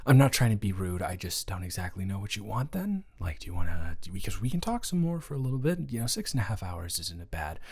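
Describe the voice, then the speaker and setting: A man's voice: low-pitched, very animated, fast talker, few pauses, darkish tone, breathy edge, heavily acted. A young man playing a shy, good-natured college dormmate in a romantic audio roleplay, stammering and rambling hesitantly. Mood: hesitant, sleepy. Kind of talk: intimate romantic audio roleplay, one-sided conversation.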